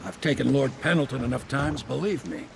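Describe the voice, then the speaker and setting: An actor playing a loyal old working-class river boatman in a video game, gruff, folksy and gravelly. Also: medium pitch, a little fast, heavy background noise. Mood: earnest, cautioning. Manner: folksy, plain-spoken. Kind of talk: video game character dialogue.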